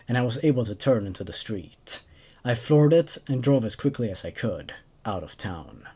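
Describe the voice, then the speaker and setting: A man in his twenties with an expressive, emphatic way of talking, hosting horror stories. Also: mid-range voice, breathy edge. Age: 20s. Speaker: host reading horror stories. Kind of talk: dramatic story narration, then casual commentary.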